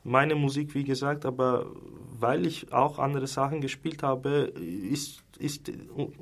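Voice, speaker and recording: A man's voice: mid-range voice, little pitch movement, a little slow. A man in his thirties from Turkey, a native Turkish speaker with a Turkish accent, chatting as an interview guest and often repeating words as he searches for phrasing. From Istanbul, Turkey. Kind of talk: casual interview chat. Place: radio studio.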